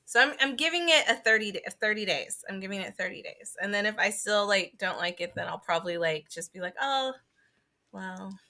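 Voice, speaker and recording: Bright-voiced woman chatting casually. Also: mid-range voice, expressive, a little fast. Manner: informal, sweary, peppered with "like". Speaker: podcast co-host. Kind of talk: casual chat about video games.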